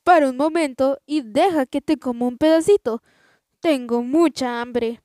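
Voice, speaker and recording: A woman voicing a huge, hungry goose in a storybook, slow and drawn out. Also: sits high, dark tone, no echo, studio quality. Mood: coaxing.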